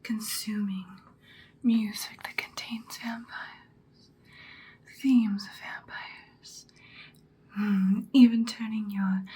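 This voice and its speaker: A woman playing a seductive vampire queen in an ASMR roleplay, whispering breathily in a soothing, predatory, deliberate way. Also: fairly high pitch, dark tone. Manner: slow, deliberate, hypnotic soothing.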